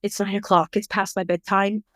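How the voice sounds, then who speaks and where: A middle-aged woman from Bangladesh, a parenting coach, speaking as a podcast guest in a reflective, measured way. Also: medium pitch, level tone, a bit bright, dry recording. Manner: reflective, measured, thoughtful explaining. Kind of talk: podcast interview.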